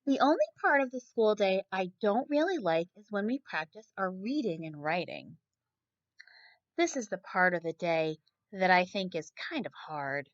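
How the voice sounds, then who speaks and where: A woman's voice: medium pitch, expressive, moderate pace, dry recording, lo-fi sound. A woman reading a children's picture book aloud like a teacher, expressively, often turning to ask her young listeners questions. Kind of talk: children's story read-aloud with asides to listeners.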